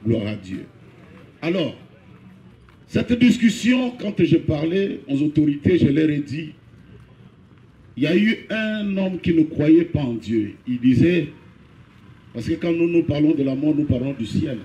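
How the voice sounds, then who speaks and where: A middle-aged Congolese pastor preaching a funeral sermon, telling a parable and lifting his pitch on key phrases. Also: sing-song, unhurried, pauses often, mellow, echoey room, rough recording. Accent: Congolese French.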